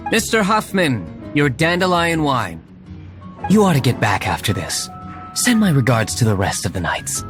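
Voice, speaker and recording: A man's voice: mid-range voice, huge pitch swings, normal speed, music in the background. A man voicing a stern, aloof young tavern owner in a video game, speaking in a curt, dry, formal way. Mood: composed.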